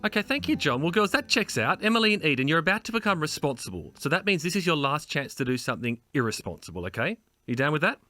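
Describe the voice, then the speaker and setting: Fast, bright Australian man hosting. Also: on the high side, big pitch swings, dry recording, faint music behind. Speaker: show host. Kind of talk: playful chat interview with guests.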